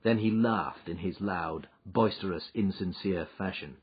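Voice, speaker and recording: A man's voice: low-pitched, unhurried, breathy edge, dull audio. A man reading an audiobook as narrator, in a dramatic scripted storytelling style.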